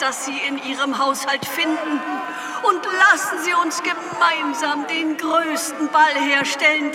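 A grave president of an alien planet in a sci-fi audio comedy. Her delivery is slow, stately and full of pathos, pitched high. Long reverb and noisy ambience surround her as she rallies her citizens. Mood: rousing. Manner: stately, emphatic, pathos-laden oratory.

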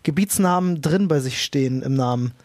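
Berlin podcast host, male, high voice, loose swearing chatter. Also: normal speed, darkish tone. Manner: rambling, swears casually. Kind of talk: casual chat.

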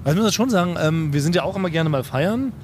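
A man with a slightly raised, light voice tells tales with mock-serious exaggeration in relaxed podcast banter. A faint hiss sits under his voice. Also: on the high side, rapid-fire. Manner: playful, tells stories with jokey exaggeration. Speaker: podcast host. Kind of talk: casual chat.

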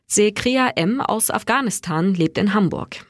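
A woman reading scripted news reports in a steady, clear way. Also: medium pitch, measured pace, no room sound, studio quality. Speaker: news reader.

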